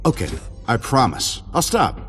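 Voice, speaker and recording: A man's voice: medium pitch, wildly swooping pitch, a little slow, fairly bright tone, slight background noise. A wisecracking American billionaire hero from a video game. He is sardonic and sure of himself, tossing off lines with easy arrogance. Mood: defiant. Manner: flippant, sardonic, self-assured. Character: cocky, wisecracking billionaire inventor flying an armored suit. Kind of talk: video game cutscene acting.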